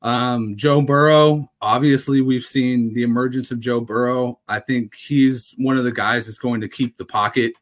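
A man's voice: medium pitch, fairly even intonation, moderate pace, hardly any pauses. Rambling man talking fantasy football. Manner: rambling, full of fillers and restarts. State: slightly raspy voice. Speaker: fantasy football podcast host. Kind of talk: casual sports talk.

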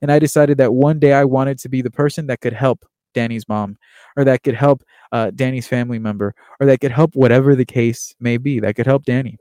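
A young man from Miami, Florida, talking earnestly and quickly in a casual monologue, with plenty of fillers and restarts. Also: medium pitch, fairly even intonation, dark tone, no echo. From Miami, Florida, USA. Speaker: podcast host and baseball writer.

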